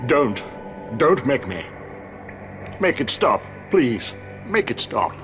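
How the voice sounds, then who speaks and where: Old wizard headmaster in a video game, solemn n formal, mid pitch, music behind. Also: male voice, very animated, takes it slowly. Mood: pleading, anguished. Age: older. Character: grave elderly wizard headmaster, commanding yet kindly. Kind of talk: dramatic video game acting.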